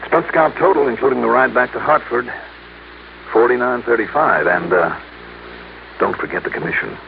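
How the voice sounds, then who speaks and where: An American actor playing a steady, insistent hard-boiled insurance investigator in a 1960s radio drama. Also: male voice, mid-range voice, normal speed, creaky, phone-quality sound. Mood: composed, wry. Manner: pointed, insistent questioning. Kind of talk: 1960s radio detective acting. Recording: old, band-limited, hissy radio recording.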